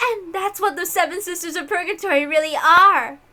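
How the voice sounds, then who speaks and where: Woman putting on a bright, gloating witch lady. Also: extremely high pitch, pitched above the performer's own voice, exaggerated voice. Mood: gloating, mocking. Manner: taunting, gloating, theatrical. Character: gleefully cruel, mocking aristocratic witch lady. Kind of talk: expressive visual novel read-aloud.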